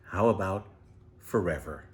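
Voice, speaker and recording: A man reading a children's storybook as an unhurried, contented eighty-year-old pet tortoise who savours everything with wonder. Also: deep voice, voice pushed down. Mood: serene, savouring. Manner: savouring, leisurely, full of wonder.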